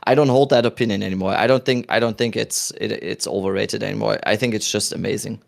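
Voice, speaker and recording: Gravelly German-accented man in his thirties. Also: on the low side, quick pace. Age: 30s. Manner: relaxed, rambling with restarts. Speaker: podcast host. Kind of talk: casual chat.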